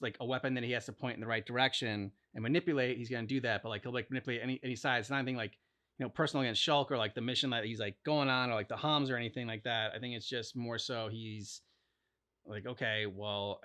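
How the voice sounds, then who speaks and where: A man's voice: mid-range voice, sharp tone. Man in casual, rambling discussion. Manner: rambling, speculative, heavy use of "like". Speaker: co-host of a reaction channel. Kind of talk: casual chat, reacting to game cutscenes.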